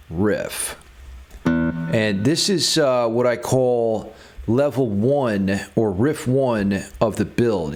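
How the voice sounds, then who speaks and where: A man giving a casual guitar theory tutorial, thinking aloud and enthusiastic about the material as he explains it. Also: lowish voice. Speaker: guitar music theory teacher.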